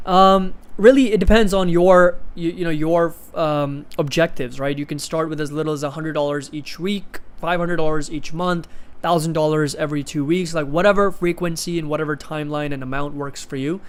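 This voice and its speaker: A man's voice: a little high, fairly even intonation, normal speed, few pauses, clean tone. A man in his twenties from India, hosting a livestream and casually chatting as he answers viewers' comments. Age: 20s. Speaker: livestream host of an investing channel.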